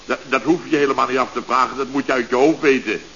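An actor playing a bossy, easily panicked contractor boss in an exasperated, sputtering 1970s Dutch radio comedy style, with a Netherlands accent. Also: male voice, medium pitch, fairly even intonation, fast talker, fairly bright tone, lightly acted. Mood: exasperated, commanding. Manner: exasperated, commanding, exclamatory, sputtering. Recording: dull, hissy old radio recording.

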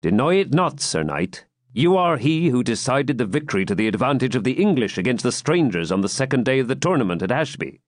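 A man's voice: medium pitch, sing-song, fairly quick, dry recording, studio quality, voice pushed up, close to an everyday voice. A man voicing a forthright outlaw yeoman in an audiobook, speaking firm, urgent, old-fashioned English. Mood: earnest. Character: forthright, commanding male outlaw yeoman woodsman. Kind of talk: audiobook character voice.

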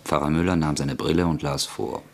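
A man narrating a literary radio drama in a measured, grave way. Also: rumbling low, steady tone.

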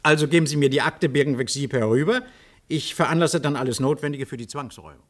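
An actor playing a cold, officious bureaucrat in a German radio play. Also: male voice, mid-range voice, fast, lightly acted. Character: cold, officious male bureaucrat ordering eviction.

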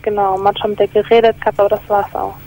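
A twenty-year-old woman calling in to a radio show by phone, talking hesitantly and softly with many fillers. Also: mid-range voice, level tone, very fast. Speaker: caller. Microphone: telephone. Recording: tinny phone line.

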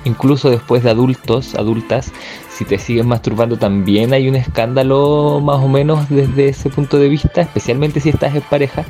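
A man's voice: mid-range voice, mellow. A man giving a reflective spoken monologue as a guest contributor, hesitantly searching for his words. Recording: music bed under the voice.

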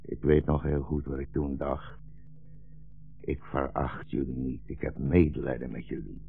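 An actor playing a weary, gentle old blind rabbi in a 1960s radio drama, speaking slowly and solemnly as a philosophical storyteller. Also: male voice, very deep voice, flat delivery, gravelly, breathy edge, faint music behind, full character voice. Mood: bitter, resigned. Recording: dull, roomy 1960s radio recording.

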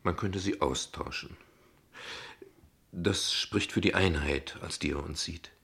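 Radio drama casting: a defensive, courteous father, deep and creaky, dry irony in formal phrasing. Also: fairly bright tone, exaggerated voice. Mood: casual, wry. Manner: dry, ironic, formal, deliberate. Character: guarded, ironic father, courteous but defensive. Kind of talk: German radio play dialogue acting.

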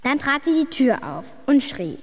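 A woman's voice: high voice, very animated, normal speed, in a large hall, soft background music. A woman playing a plucky, imaginative young girl who reads her adventure story earnestly and emphatically in a German radio drama. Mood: dramatic.

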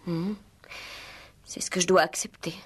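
A woman's voice: on the low side, steady tone, unhurried, breathy edge. An actress in a 1960s French radio play as a refined married lady, upset, nervous and defensive, speaking with emotional hesitations.

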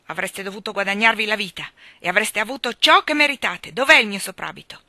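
A woman voicing an irritable, sarcastic middle-aged father who blusters loudly. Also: mid-range voice, fast, no echo. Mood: grumbling. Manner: loud, blustering.

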